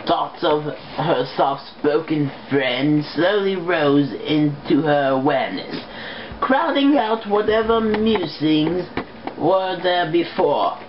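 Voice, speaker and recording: Halting woman reader, dull noisy recording. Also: deep voice, animated. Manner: halting, stumbles over harder words. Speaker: amateur fan fiction reader. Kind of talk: story read-aloud.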